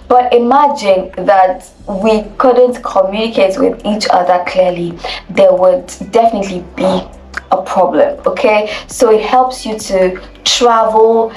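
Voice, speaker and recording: A Nigerian woman coaching on elocution, with a gravelly edge to her voice. She speaks deliberately and emphatically, often repeating her points. Her voice carries a roomy echo, picked up from a little way off the mic. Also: mid-range voice, recorded from a distance. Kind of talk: instructional video talk.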